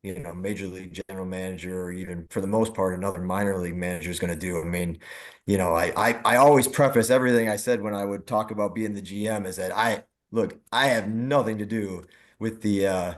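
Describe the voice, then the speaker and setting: A man with a lowish voice shares memories as a podcast guest. His casual telling is halting and wandering, often stopping to qualify what he has just said. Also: steady tone. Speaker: podcast guest, former minor league team general manager. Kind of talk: casual reminiscing.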